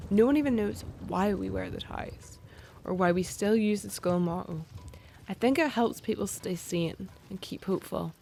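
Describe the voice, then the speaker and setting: A woman's voice: medium pitch, vocal fry, mellow, slight background noise, natural voice. An actress playing a restless, wry schoolgirl in an audio drama, with a Northern Irish accent and a musing, youthful manner. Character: restless, wry schoolgirl dreaming beyond survival. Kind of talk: audio drama acting, inner monologue.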